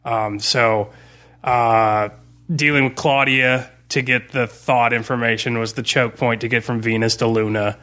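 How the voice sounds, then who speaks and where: A man's voice: mid-range voice, steady tone, a little slow, bright, forceful tone. A man casually talking through a tabletop game campaign he ran, as its game master. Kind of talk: casual discussion, looking back on a game campaign.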